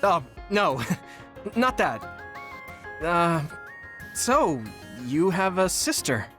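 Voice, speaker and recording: An actor playing an earnest, modest young rookie soldier in an anime game, polite and a little hesitant. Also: male voice, sits high, big pitch swings, very slow, pushed and bright, full character voice. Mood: awkward, polite. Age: young adult. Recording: music behind.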